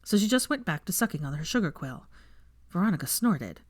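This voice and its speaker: Expressive, low-pitched woman storyteller. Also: fairly quick, no echo, clean studio sound. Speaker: audiobook narrator of fan fiction. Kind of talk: scripted expressive story narration.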